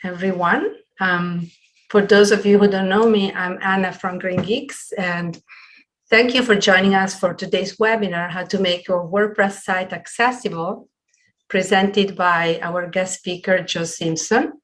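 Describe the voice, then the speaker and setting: A middle-aged woman hosting a webinar on a video call from a small room at home, introducing things in a halting, partly read way. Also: mid-range voice, fairly even intonation, fairly bright tone. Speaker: webinar host. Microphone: computer video call.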